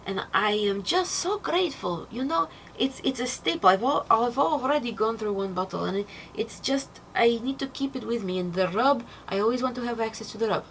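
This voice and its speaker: A young woman with a fairly high voice speaks informally to camera as a presenter. She tells anecdotes and testimonials, acting out other people's remarks as she goes. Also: lively intonation, a little fast, hardly any pauses, a little hiss or hum, rough recording. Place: small decorated indoor set.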